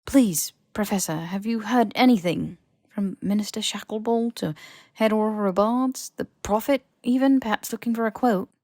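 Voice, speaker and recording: A woman voicing an earnest, awkward teenage boy wizard in an audiobook, halting and stammering as the character defends himself. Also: mid-range voice, darkish tone, dry recording, clean studio sound, voice pushed up, natural voice. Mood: pressing, careful.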